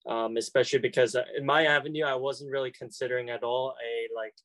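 A man's voice: mid-range voice, fairly even intonation, quick pace, a bit bright, no room sound. Young college guy, chatty and hesitant, dry close recording. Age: young adult. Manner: hesitant, many fillers and restarts. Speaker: college student interviewee. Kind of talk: casual conversation.

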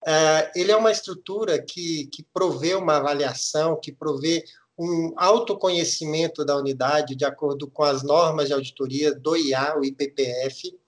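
A middle-aged man from Minas Gerais with a mineiro Brazilian accent, explaining a topic in a podcast interview with measured, hesitant restarts. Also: a little high. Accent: Minas Gerais Brazilian Portuguese. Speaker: guest auditor.

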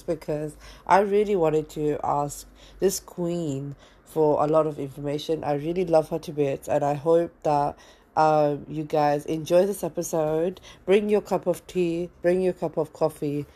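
Chatty young woman host, low mellow voice. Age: young adult. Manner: friendly, chatty with fillers. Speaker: podcast host. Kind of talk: casual interview chat.

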